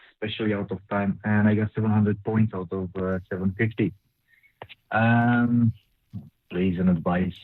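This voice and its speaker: A man's voice: fairly low pitch, dry recording. Hesitant man on a phone line. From Romania. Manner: halting, hesitant, searching for words. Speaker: caller.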